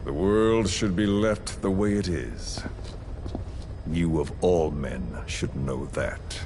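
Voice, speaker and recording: An actor playing a calm, scheming villain who speaks in a slow, measured and quietly threatening way. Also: male voice, deep voice. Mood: menacing. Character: cold, menacing male villain, calm and scheming. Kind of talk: game cutscene dialogue. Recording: noisy game audio recording.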